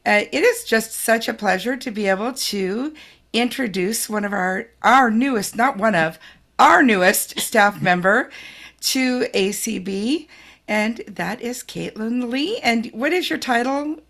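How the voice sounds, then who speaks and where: A woman's voice: a little high, measured pace, creaky. A woman hosting a casual interview, chatty, laughing along and often restarting her sentences. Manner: warm, chatty, hesitant with restarts. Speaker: host.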